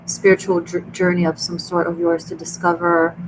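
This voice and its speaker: A woman's voice: fairly low pitch, completely flat delivery, normal speed, background noise. A woman with an Israeli Hebrew accent, hosting a live tarot and dream reading in an earnest, chatty way with many restarts. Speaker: tarot reader and host. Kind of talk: live stream reading for viewers.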